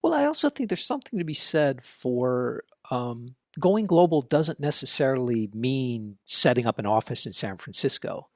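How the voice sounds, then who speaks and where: A man's voice: medium pitch, sing-song, moderate pace, few pauses, no room sound, studio quality. A man hosting a podcast, interviewing a guest and then commenting on his own in a conversational, thinking-aloud way. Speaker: podcast host.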